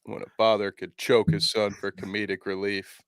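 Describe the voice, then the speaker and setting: A man co-hosting a live podcast who trades dry, sarcastic jokes. His voice carries a gravelly fry. The recording is close and free of room echo. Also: on the low side, flat delivery, moderate pace, fairly bright tone. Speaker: podcast co-host. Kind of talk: casual chat.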